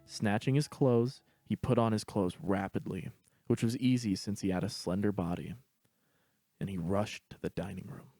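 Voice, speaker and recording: A man's voice: lowish voice, steady tone, normal speed, dark tone, dry recording, studio quality, faint music behind. A man reading a scripted story aloud as its narrator.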